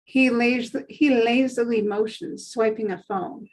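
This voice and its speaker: A woman narrates stage directions for a script read-through. Her voice is on the high side, and she delivers short descriptive sentences as scripted narration. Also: fairly even intonation, measured pace, poor audio.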